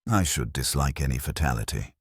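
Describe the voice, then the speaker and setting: A man reading a courteous, quietly firm police inspector in an audiobook, polite, understated and formal. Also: extremely low pitch, animated, brisk, slightly rough, dry recording, clean studio sound, exaggerated voice.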